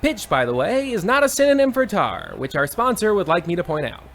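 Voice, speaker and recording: A man playing an excitable, folksy old-time radio baseball announcer with theatrical, exclamatory patter. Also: high-pitched, fairly quick, a little hiss or hum, exaggerated voice. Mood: excited. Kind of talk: 1940s radio sports commentary parody.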